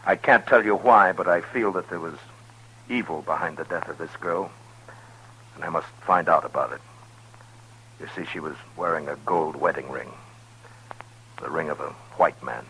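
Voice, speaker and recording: An actor playing a grave, weary frontier lawman in 1950s radio western style, speaking with restraint and deliberate pauses. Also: a bass voice, bright, forceful tone, slightly rough, full character voice, low-quality recording. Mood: grave, earnest. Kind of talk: 1950s radio western acting with first-person narration. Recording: 1950s radio broadcast with music.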